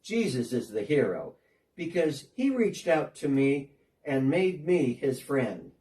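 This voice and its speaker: Short, earnest tax collector recounting his change of heart , played by a man, lively up-and-down delivery, mellow tone, miked from a distance. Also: on the high side, slow. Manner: earnest storytelling, talking as if on a phone call. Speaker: dramatic reader. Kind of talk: first-person dramatic monologue.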